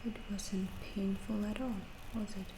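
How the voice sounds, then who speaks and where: Woman acting a slow, hushed alien scientist in ASMR. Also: medium pitch, steady tone, close to an everyday voice. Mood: soothing, hushed. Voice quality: soft, breathy, often whispered. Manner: slow, detached, clinical, hushed and reassuring. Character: calm, curious alien scientist woman examining an abducted human. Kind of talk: ASMR roleplay. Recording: roomy echo, clear background noise.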